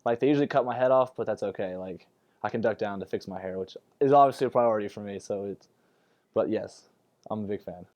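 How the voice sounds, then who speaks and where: A man's voice: fairly low pitch, quick pace, a little mellow. A young man, a college athlete, chatting casually and playfully in an interview. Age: young adult. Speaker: college basketball player.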